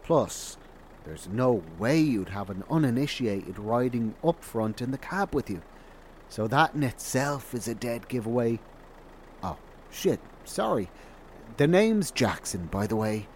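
A man's voice: medium pitch, lively intonation, measured pace, darkish tone, lightly acted. A man playing a shrewd roadside wanderer in an audio drama, with a knowing, sardonic drawl. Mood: knowing, candid. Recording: faint car engine noise.

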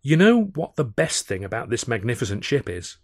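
A man's voice: medium pitch, sing-song, a little fast, dry recording, clean studio sound. A man voicing a coy, inviting young woman from a theatre troupe in an audiobook, lightly teasing.

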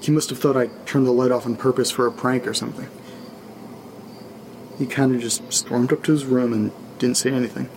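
A man playing a jittery late-night audio diarist, speaking quietly and casually. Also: medium pitch, fairly even intonation, brisk, slight background noise, lightly acted, poor audio. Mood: uneasy, apologetic. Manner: hushed, conversational, informal. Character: jittery, foul-mouthed man recording a late-night spooky audio diary. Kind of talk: confessional audio-diary horror fiction, casual.

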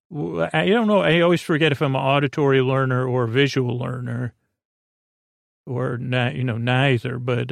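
An American man hosting a sleep podcast, rambling in a halting, dreamy, whimsical monologue that meanders along. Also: mid-range voice, creaky, no echo, studio quality. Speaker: podcast host. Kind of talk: meandering bedtime monologue to help listeners sleep.

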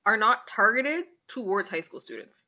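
A woman's voice: mid-range voice, lively intonation, normal speed, highs cut off. A young woman co-hosting a podcast in a casual, conversational style, candid and opinionated, often slipping into imagined quotes. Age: young adult. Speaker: podcast co-host.

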